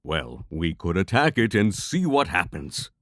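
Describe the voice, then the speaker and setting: A man playing a wry, cautious young lightning mage in a fantasy audio drama, talking in a measured, wordy way. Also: deep voice, big pitch swings, fairly bright tone, no echo, studio quality, heavily acted.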